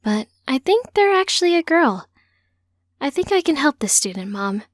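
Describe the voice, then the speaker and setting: A woman's voice: high-pitched, sing-song, normal speed, no echo, clean studio sound, pitched above the performer's own voice. A woman voicing an earnest, slightly sheepish grown daughter in an audiobook story. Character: earnest young transgender woman, a teacher, confiding in mother.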